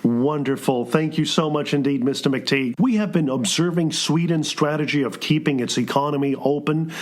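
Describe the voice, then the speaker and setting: A man's voice: a little high, moderate pace. A man co-hosting a public affairs interview, courteously reading formal introductions and prepared questions. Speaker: co-host of a public affairs show.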